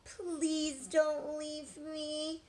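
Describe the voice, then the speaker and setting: Storybook lamb, young, female, high-pitched, imploring and eager, played by a woman reader. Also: monotone, darkish tone. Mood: pleading, eager. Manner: imploring, drawn-out pleas.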